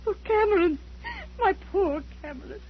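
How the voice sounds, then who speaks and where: A woman's voice: very high-pitched, normal speed. An actress playing a genteel aristocratic English lady, with a refined British accent and theatrical 1940s radio delivery. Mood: grief-stricken. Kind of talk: 1940s radio drama acting. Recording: muffled, hissy 1940s radio recording.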